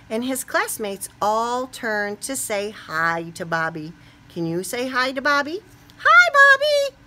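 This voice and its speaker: A middle-aged American woman teaching kindergarten, talking playfully to young children as she leads a read-aloud. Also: fairly high pitch. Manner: animated, playful, exaggerated questions and reactions as to small children. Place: small classroom, indoors.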